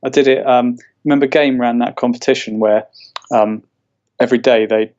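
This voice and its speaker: A man co-hosting a podcast in an easygoing, wry way, with short relaxed replies. His sound is dull and slightly muffled, like a cheap mic. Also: mid-range voice, moderate pace. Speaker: podcast co-host. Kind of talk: casual chat.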